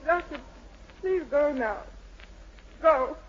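Casting: anguished sister in an old radio play, very high bright voice, slow drawn-out pleas, dull faintly noisy recording. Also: female voice, expressive. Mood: grieving, urgent. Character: distraught, pleading sister of a madman. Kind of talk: 1940s radio drama acting.

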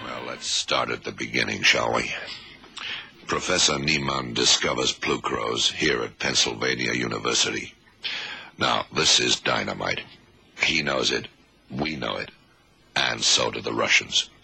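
An actor playing a shrewd detective in a radio drama, deliberate and authoritative as he lays out a case. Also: bright, forceful tone, heavily acted. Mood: grave, explaining. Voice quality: very deep, gravelly, husky. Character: shrewd male American blind detective explaining a case. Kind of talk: 1970s radio drama acting.

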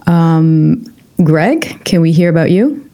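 Gravelly low-voiced woman hosting. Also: lively intonation, slow, warm tone. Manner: friendly, lots of short backchannels. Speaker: podcast host. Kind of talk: podcast interview.

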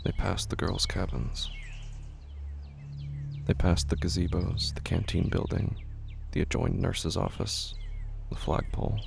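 Deep, very gravelly hushed male narrator. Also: level tone, faint music behind. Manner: hushed, halting, often drops to whispers. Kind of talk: scripted horror audio drama narration. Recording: clear background noise and ambience.

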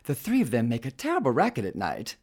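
A disapproving country gossip in a radio drama. The man's mid-pitched voice rises and falls freely as he delivers folksy, opinionated grumbles. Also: huge pitch swings, fast talker, darkish tone. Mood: grumbling, gossipy. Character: grumbling, gossipy, disapproving male rural neighbor with gout.

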